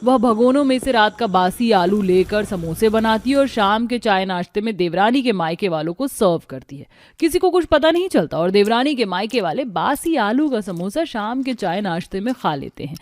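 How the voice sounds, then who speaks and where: A woman reading a scripted narration for an animated moral story. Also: medium pitch, moderate pace, dry recording.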